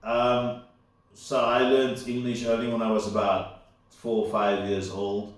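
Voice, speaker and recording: A man's voice: fairly low pitch, level tone, unhurried. A man whose first language is Afrikaans, rambling casually through a solo commentary with lots of fillers and asides. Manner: unscripted, full of fillers and asides. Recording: very echoey room, far from mic.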